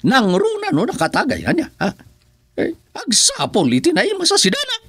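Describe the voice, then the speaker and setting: A woman's voice: high-pitched, huge pitch swings, a little fast, a bit bright, dry recording, exaggerated voice. A woman playing an aggrieved parent-in-law, whining and pleading dramatically in a comedic radio drama.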